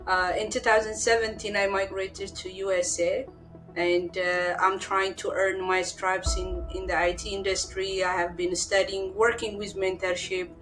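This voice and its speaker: An earnest woman from Ethiopia whose English carries a clear Ethiopian accent. She speaks as a guest, often repeating words to stress a point. Her voice sits at an ordinary pitch for a woman, with music playing clearly behind her. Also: flat delivery, normal speed, a bit bright, rough recording. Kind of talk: podcast interview, personal story.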